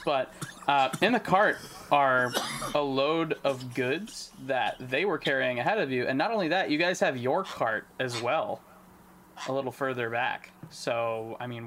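A man runs a tabletop game with relaxed, chatty narration, describing scenes and asking the players what they do. Faint background noise sits under his voice. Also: medium pitch. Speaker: game master.

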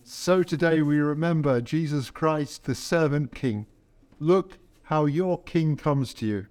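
Male clergy, voice a touch high for a man, solemn measured sermon and liturgy delivery. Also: darkish tone. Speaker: priest leading a Palm Sunday service.

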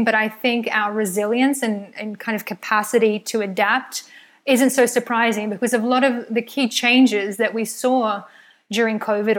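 A woman from New Zealand, a podcast guest who is a strategist and sociologist, speaking in a measured way with a lot of "kind of" hedging. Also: fairly high pitch. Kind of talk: podcast panel discussion.

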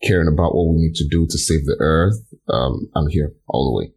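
A man's voice: a bass voice, a little fast, darkish tone, breathy edge. A man from Nigeria telling his story with enthusiasm and acting out his own reactions as a podcast guest. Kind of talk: casual chat.

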